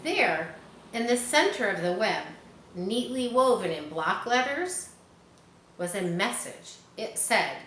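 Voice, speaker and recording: Animated woman reading a children's storybook. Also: mid-range voice, slow pace. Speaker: audiobook reader. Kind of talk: expressive children's storybook narration. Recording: echoey room, faint background noise.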